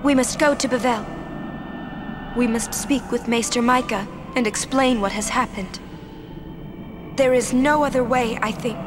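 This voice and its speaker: An actress playing a gentle, dutiful young summoner in a video game, speaking in an earnest, formal and hesitant way. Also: female voice, a little high, moderate pace, pauses often, music in the background, natural voice. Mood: earnest, resolute.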